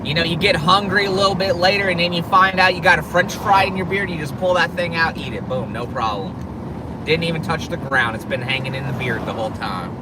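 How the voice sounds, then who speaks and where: Brief: man in his thirties, unhurried jokey live-stream chatter, a bit high, off-mic with loud boat noise outdoors. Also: distant mic. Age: 30s. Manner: joking, laughs often, drawls. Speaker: co-host reading live chat. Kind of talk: casual live-stream chat.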